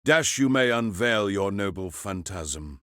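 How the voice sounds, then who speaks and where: A man playing a cold, commanding mage who orders his servant from hiding in a slow, deliberate manner. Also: fairly low pitch, lively intonation, fairly bright tone, no echo, studio quality. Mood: cold, impatient.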